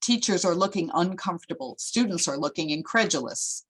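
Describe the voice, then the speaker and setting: A woman's voice: on the low side, lively intonation, a little fast, breathy edge. A woman narrating stage directions for a screenplay table read in a scripted reading style.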